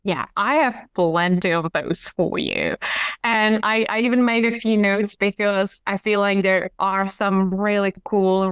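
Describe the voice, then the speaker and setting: Woman, smooth and unhurried. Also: medium pitch, no echo. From Czech Republic. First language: Czech. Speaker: podcast guest, hypnotherapist. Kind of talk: conversational storytelling.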